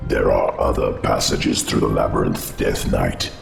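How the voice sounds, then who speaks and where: A deep, gravelly rumble of an ancient undead spider-lord, grim and wary. He speaks in slow, solemn warnings, and the voice sits at the very bottom of the range with heavy fry. It rings with echo over a game score. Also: little pitch movement, exaggerated voice. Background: music.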